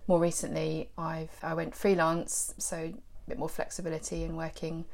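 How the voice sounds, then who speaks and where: A woman's voice: sits low, completely flat delivery, normal speed, creaky. A woman, co-hosting a casual podcast chat in a chatty way. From West Sussex, England. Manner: chatty, with fillers and laughs. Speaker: podcast co-host.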